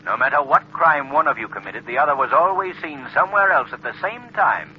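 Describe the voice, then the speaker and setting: An actor playing a mysterious, coolly superior crime fighter in 1930s radio style, with a deliberate, taunting manner. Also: male voice, on the high side, lively intonation, natural voice. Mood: mocking, smug. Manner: mocking, deliberate. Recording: muffled, noisy 1930s radio recording.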